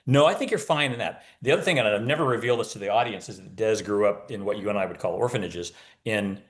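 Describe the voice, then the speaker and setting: A man's voice: on the low side, quick pace. A man speaking as an author guest in a casual interview, explaining his craft in a talkative, wry manner.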